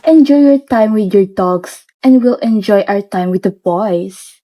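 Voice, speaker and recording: A woman's voice: medium pitch, takes it slowly, warm tone, lightly acted. A woman playing a breezy, self-assured young woman in a radio drama, casual in her delivery. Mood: dismissive.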